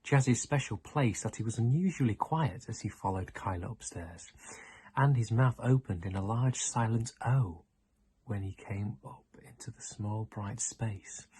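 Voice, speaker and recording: A man's voice: fairly low pitch, a little slow, mellow, slight background noise. A man reading a children's story aloud as narrator. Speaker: audiobook reader.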